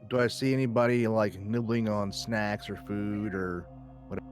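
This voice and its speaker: A man's voice: fairly low pitch, steady tone, moderate pace. A man chatting casually as a player in a tabletop roleplaying game. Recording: music playing behind the voice. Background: background music.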